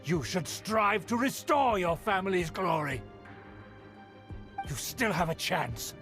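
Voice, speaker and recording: An actor playing a haughty older aristocratic uncle who scolds with theatrical outrage in a video game. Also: male voice, sits high, sing-song, takes it slowly, fairly bright tone, soft background music, heavily acted. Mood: lordly. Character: pompous older aristocratic uncle, blustering and indignant. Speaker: scheming family elder.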